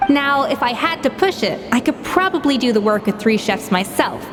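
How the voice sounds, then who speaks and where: A woman's voice: high voice, expressive, moderate pace, a bit bright. A woman playing a proud, exacting young master chef in a video game, precise, self-assured and dry. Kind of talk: English video game voice acting. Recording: music playing behind the voice.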